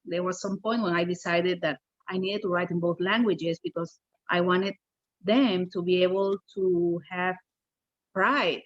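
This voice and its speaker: A woman's voice: lowish voice, fairly even intonation, unhurried, no echo, muffled recording. A middle-aged woman from Peru, a native Spanish speaker with a Peruvian Spanish accent, introducing herself conversationally as a guest author.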